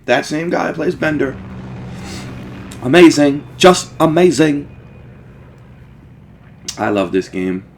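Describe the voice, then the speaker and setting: A man giving casual, rambling, profanity-laced gaming commentary with excitable fan talk. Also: mid-range voice. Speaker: let's play host.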